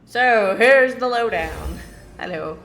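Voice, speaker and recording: A woman's voice: on the high side, expressive, slow pace, vocal fry, a crowd in the background. A woman streamer giving casual, playful game reactions, thinking aloud in a chatty way. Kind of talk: casual reaction commentary, some reading aloud. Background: game music.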